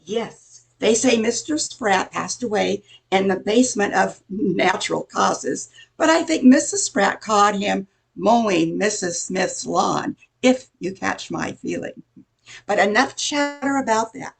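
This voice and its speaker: An older woman playing a middle-aged busybody neighbor in an amateur radio play, chatty, insinuating and judgmental, with a husky, creaky voice. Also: mid-range voice, animated, a little slow, heavily acted. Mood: gossipy, insinuating.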